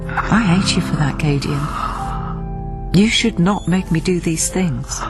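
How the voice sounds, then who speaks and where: An actress playing a guarded, earnest woman intermediary in a radio drama, speaking in a measured way. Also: lowish voice, lightly acted. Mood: grave, reproachful. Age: 30s. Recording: radio drama with loud music behind.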